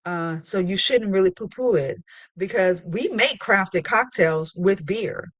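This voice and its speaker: A woman's voice: mid-range voice, unhurried, dry recording. A woman hosting a podcast, chatting casually and interviewing in a playful, effusive way. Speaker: podcast host.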